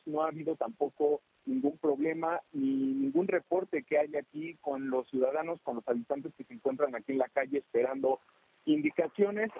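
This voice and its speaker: A man's voice: a little high, creaky, slightly rough. A man with a Mexican accent giving a live, unscripted field news report from a city street over a phone link. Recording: tinny, band-limited phone link.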